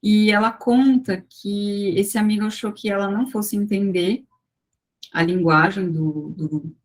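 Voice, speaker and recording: A woman giving an academic talk over a video call, measured and full of small fillers and restarts as she walks through slides. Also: mid-range voice, fairly even intonation. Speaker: guest lecturer.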